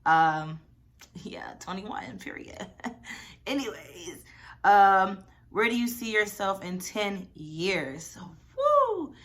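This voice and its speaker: A woman's voice: mid-range voice, very animated, slow, fairly bright tone. A woman of twenty-one, chatty and rambling, answering questions in a casual vlog. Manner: chatty, rambling, lots of "y'all" and "like". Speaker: vlogger.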